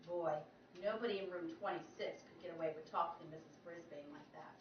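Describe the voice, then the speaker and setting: A woman teacher reading a children's chapter book aloud, animated, with her pitch jumping for the characters. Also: fairly quick. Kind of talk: storybook read-aloud. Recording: roomy, distant, dull sound with faint noise.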